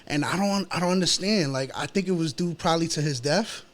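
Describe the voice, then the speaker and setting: New York man, slightly higher voice. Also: a little fast. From New York City, United States. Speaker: rapper, interview guest. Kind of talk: casual interview chat.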